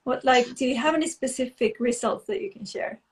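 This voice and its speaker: A woman's voice: a little high, a little fast. Upbeat woman hosting a yoga live stream. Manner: upbeat, laughs often, many fillers and restarts. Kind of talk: casual live-stream chat.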